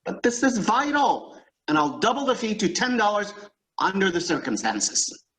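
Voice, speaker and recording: A man's voice: high-pitched, big pitch swings, moderate pace, fairly bright tone, rough recording. A man playing a flustered, impatient boss in old-time radio comedy style, blustering and stammering. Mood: exasperated, blustery. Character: flustered, impatient boss with a dictation-taking secretary.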